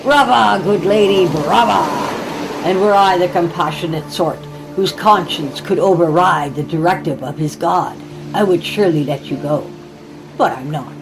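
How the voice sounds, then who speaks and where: A woman's voice: lowish voice, moderate pace, creaky, over music, low-quality recording. Woman voicing a courtly, smug drowned corpse. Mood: mocking praise. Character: drowned male corpse, servant of a sea god. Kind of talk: horror audiobook character.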